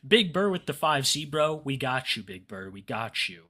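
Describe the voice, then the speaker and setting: Blunt podcast dude, swears a lot, dark jokes, bright edgy voice. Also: male voice, medium pitch, big pitch swings, a little slow. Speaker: podcast co-host. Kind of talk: casual chat.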